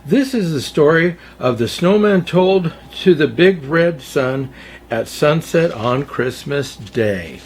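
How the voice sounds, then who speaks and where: A man reading a children's storybook aloud as a storyteller, in a theatrical, deliberate way. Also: on the high side, expressive, slight background noise. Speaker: storyteller, Santa story-time host.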